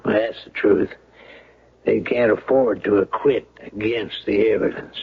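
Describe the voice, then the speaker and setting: An actor playing a laconic, knowing small-town sheriff in a radio mystery drama, folksy and unhurried. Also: male voice, lowish voice, fairly even intonation, vocal fry, slightly rough, highs cut off, heavily acted. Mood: wry, confiding. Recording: thin, band-limited old radio broadcast.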